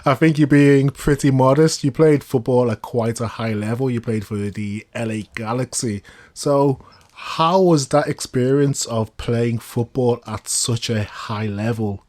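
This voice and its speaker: A man's voice: mid-range voice, unhurried, darkish tone. A man hosting an interview podcast, introducing and questioning in a polite, prepared way. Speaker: podcast host.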